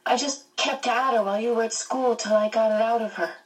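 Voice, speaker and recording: A woman voicing a calm, precocious little boy who explains things patiently like a teacher, recorded at a distance. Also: on the high side, a little fast, voice pushed up, lightly acted.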